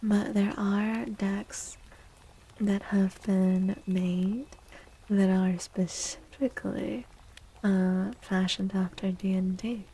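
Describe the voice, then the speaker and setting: A woman streamer chatting in soft-spoken ASMR style, gentle and unhurried, often slipping into a whisper. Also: mid-range voice, flat delivery, breathy edge, low-quality recording. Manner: unhurried, gentle, pausing between short phrases. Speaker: livestream host.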